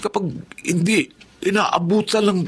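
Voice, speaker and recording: An actor playing an evasive, sheepish teenage schoolboy in a radio drama, speaking in a hesitant, defensive way. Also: male voice, high-pitched, fairly even intonation, measured pace, a bit bright. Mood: evasive, nervous. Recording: noisy radio drama recording.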